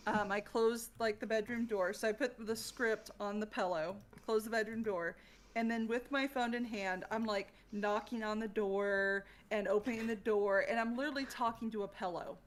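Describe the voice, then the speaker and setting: A woman chatting casually as a podcast guest, chatty and quick to react. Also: mid-range voice, fairly even intonation. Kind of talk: casual chat.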